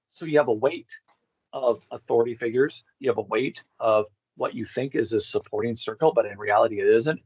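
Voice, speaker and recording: Gravelly middle-aged man, roomy muffled sound. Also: mid-range voice. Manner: candid, rambling, profane. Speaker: podcast interview guest. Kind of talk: casual personal storytelling.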